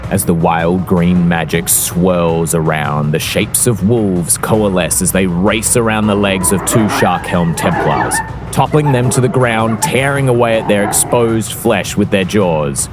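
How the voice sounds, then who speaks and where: A man's voice: low-pitched, unhurried. A man narrating a tabletop roleplaying game as game master, with a dramatic, descriptive storytelling manner. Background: background music.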